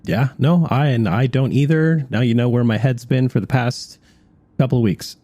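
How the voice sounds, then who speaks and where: A man's voice: mid-range voice, normal speed, warm tone, dry recording. A man hosting a radio show in a studio, moving between chat and part-scripted narration.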